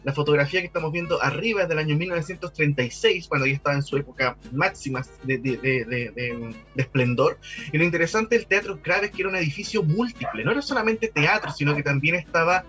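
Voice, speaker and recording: Higher-voiced Chilean man explaining over music. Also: fast, pushed and bright. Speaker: guest expert on local architectural history. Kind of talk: conversational explanation in an interview.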